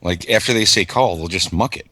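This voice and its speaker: A man's voice: sits low, fairly quick, sharp tone, no echo. A man trading teasing wisecracks in casual chat about poker.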